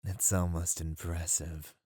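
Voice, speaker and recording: A performer voicing a flirty, playfully mocking demon in an ASMR roleplay, with a drawn-out, purring delivery. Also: sits low, level tone, warm tone, husky, dry recording, clean studio sound. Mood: flirty, coaxing.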